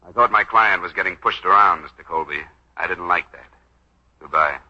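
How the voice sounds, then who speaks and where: An actor in a 1940s radio drama playing a brash, wisecracking, hot-headed young attorney. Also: male voice, low voice, moderate pace. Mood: combative. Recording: dull 1940s radio recording.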